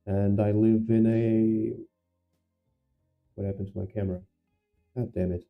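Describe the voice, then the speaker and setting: A man's voice: on the low side, flat delivery, a little slow, phone-quality sound, soft background music. A man with a Portuguese accent, talking through his live trading session and chatting with viewers, hesitant, with many repeated words and fillers. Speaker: day trader livestreaming to viewers. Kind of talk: live stream commentary and viewer chat.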